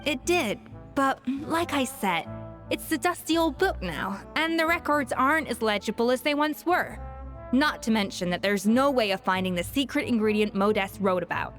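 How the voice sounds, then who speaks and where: An actress playing a proud, exacting chef in a video game, speaking precisely and with haughty self-assurance. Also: female voice, fairly high pitch, expressive, normal speed, a bit bright, soft background music. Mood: lecturing.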